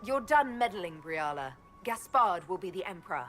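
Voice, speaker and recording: An actress playing a resolute, commanding heroine in a fantasy game, firm and measured. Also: female voice, fairly low pitch, sing-song, a bit bright, faint music behind. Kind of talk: fantasy video game acting.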